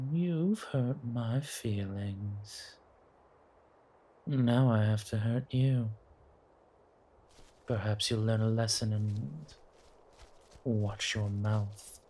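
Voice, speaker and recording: Man voicing a husky, slow-talking, taunting forest monster. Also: medium pitch, dark tone. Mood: menacing taunting. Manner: slow, teasing, drawn-out menace. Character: taunting male forest monster hunting its prey, possessive, playful. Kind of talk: horror audio roleplay acting.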